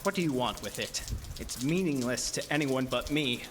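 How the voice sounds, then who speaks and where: A man's voice: medium pitch, lively intonation, normal speed, background noise, natural voice. A man playing a troubled, suspicious and stubborn sculptor in a horror audio drama, with a terse, confrontational manner. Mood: angry, accusing.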